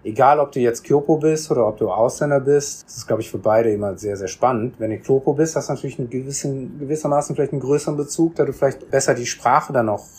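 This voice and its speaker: A man from Germany, a podcast guest, telling his story in a relaxed, reflective way with many restarts and repeated words. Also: medium pitch, fast, hardly any pauses, slightly rough. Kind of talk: casual conversational storytelling.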